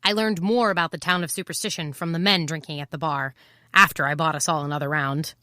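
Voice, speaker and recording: Guarded female detective, very low pitch, bright close dry sound, wry, understated, noir audio drama. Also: fairly even intonation, quick pace, no room sound. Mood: reflective. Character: guarded, wry female private eye seeking someone. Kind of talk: noir first-person audio drama narration and dialogue.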